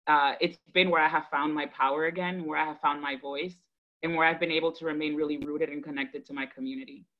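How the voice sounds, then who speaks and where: A woman in her thirties, from the Dominican Republic and raised in Boston, telling her personal story to an online audience in an earnest, measured, thoughtful way. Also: low-pitched, fairly even intonation. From Dominican Republic, raised in Boston from age five. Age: 30s. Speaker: guest speaker, education advocate. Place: indoors on a video call. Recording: dull, lo-fi video call audio.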